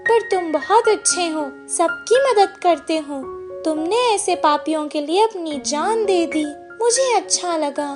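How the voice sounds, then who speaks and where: A woman plays a sky goddess in a cartoon moral tale. She speaks very high and unhurried, kindly but with stern authority, admonishing and praising solemnly over prominent music. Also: full character voice. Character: benevolent goddess from the sky, stern yet kind.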